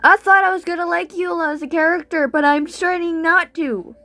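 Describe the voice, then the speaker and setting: A woman streaming a video game, reacting casually in an expressive, exclamatory way. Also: extremely high pitch, fairly quick, a little hiss or hum.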